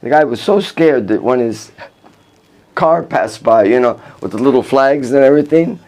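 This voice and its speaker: Older man, rambling storyteller, halting with restarts, mid-range voice. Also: mid-range voice, animated, a little mellow. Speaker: vlogger recounting his life. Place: outdoors in Vrindavan.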